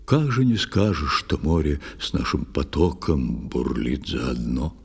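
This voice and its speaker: Worried old fisherman, superstitious, tired, low hushed voice, radio play style verse. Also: sing-song, measured pace, creaky, husky, heavily acted. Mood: weary, brooding.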